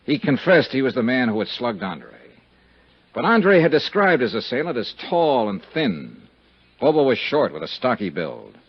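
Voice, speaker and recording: Old muffled radio show, a wry american private investigator, clipped and dry but lots of up and down in the voice, bit of music. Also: male voice, medium pitch, sing-song, moderate pace, breathy edge, no echo. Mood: dry, knowing. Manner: clipped, dry, matter-of-fact. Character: hard-boiled, wry male insurance investigator narrating his case. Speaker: radio drama lead actor. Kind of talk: 1950s radio acting.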